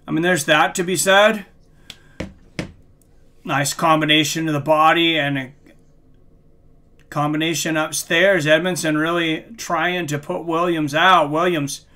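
American man, forties, highish pitch, very bright forward voice, casual sports commentary, conversational and halting delivery. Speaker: live stream boxing play-by-play host. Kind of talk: casual commentary mixed with chat. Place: small room, indoors, streaming at a desk.

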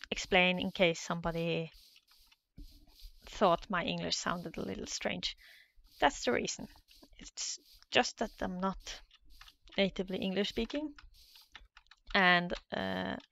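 A woman's voice: lowish voice, creaky, a bit bright, slight background noise. A Norwegian woman with a Norwegian accent, hesitantly commentating her own Minecraft play in a casual, nervous way. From Norway. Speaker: Minecraft let's player.

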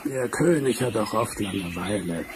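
An actor playing an easygoing, amused palace guard in a fairy-tale radio play. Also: male voice, fairly low pitch, expressive, moderate pace, close to an everyday voice. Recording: noisy old vinyl record rip.

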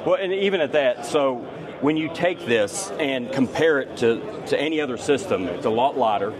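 A man's voice: medium pitch, lively intonation, normal speed. Enthusiastic man hosting at a busy expo. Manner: upbeat, enthusiastic interviewer. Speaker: podcast host. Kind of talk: trade show interview. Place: busy expo hall floor. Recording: crowd noise in a busy hall.

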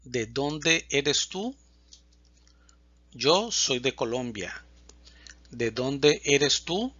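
A man teaching a Spanish lesson, reading example questions and answers slowly and distinctly. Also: medium pitch, animated, a bit bright, breathy edge, no room sound, highs cut off. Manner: reads questions and answers slowly and distinctly, pausing between lines. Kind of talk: slow, clear language lesson reading example dialogues.

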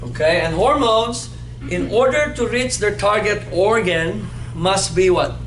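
Man, 50s, anatomy instructor, animated lecture, echoey room, background noise. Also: high-pitched, a little slow. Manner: animated, playful, asks the class questions. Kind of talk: classroom lecture with call-and-response. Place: classroom in front of a whiteboard. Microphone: far camera.

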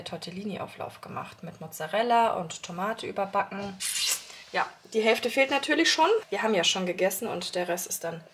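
Slightly hoarse woman chatting to viewers. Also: mid-range voice, fairly bright tone, slight background noise. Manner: chatty, talks straight to viewers with many fillers. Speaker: family vlogger, mother of three. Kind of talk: casual vlog chat to camera. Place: child's bedroom and rooms at home, indoors. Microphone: phone camera held at arm's length.

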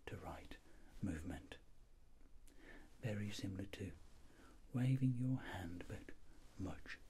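A middle-aged man plays a reassuring doctor in an ASMR role-play. His voice is soft and breathy, mostly whispered, rising and falling noticeably as he goes very slowly and deliberately through each step. There is faint background noise behind him. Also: sits low, animated. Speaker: ASMR performer playing a doctor examining a patient.

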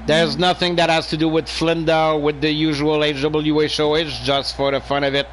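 A man's voice: fairly high pitch, flat delivery, a bit bright, soft background music, rough recording. Man hosting a joking wrestling stream. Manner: teasing, joking, quick to laugh. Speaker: stream host and commentator. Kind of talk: casual play-by-play and banter.

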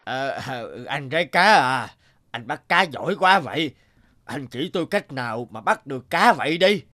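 A man's voice: fairly high pitch, animated, moderate pace, pushed and bright. A man voicing a sly, greedy, lazy fox in a children's cartoon, wheedling and hemming as he talks. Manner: wheedling, hesitant with many hems.

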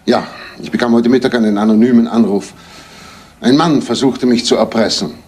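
An actor playing an earnest married man making a confession in a measured, formal way, with a husky voice, in a crime radio play. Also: medium pitch, steady tone, darkish tone, a little hiss or hum, lightly acted. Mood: earnest, restrained.

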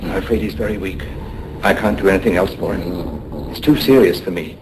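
An actor playing a formal, concerned ship's captain in a radio drama, speaking with polite, measured authority. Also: male voice, low-pitched, background noise, natural voice. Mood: grave concern.

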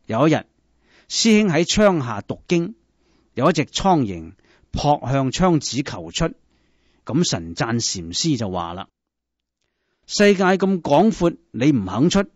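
Hong kong cantonese guy narrating a story, really slow, voice goes up and down a lot, dry slightly muffled recording. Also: on the high side, big pitch swings, dry recording. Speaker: narrator of Buddhist stories.

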